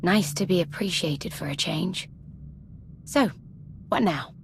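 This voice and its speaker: A woman's voice: fairly low pitch, slow pace, creaky, background noise. A woman playing a cool, sardonic, unimpressed villain in a video game, with a dry, taunting delivery. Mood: wry, cool.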